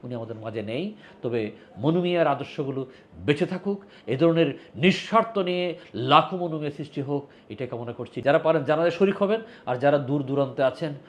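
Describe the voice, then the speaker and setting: A man's voice: a little high, expressive, brisk. A man with a Bangladeshi accent giving a heartfelt, solemn tribute and appeal to viewers, emphatic and repeating phrases for weight. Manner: emphatic, solemn, with rhetorical repetition. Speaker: social volunteer addressing video viewers.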